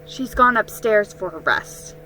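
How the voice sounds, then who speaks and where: A woman voicing a kindly, practical woman shopkeeper in a children's story, gently giving instructions. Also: mid-range voice, animated, a little slow, faint music behind, low-quality recording. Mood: kindly, generous.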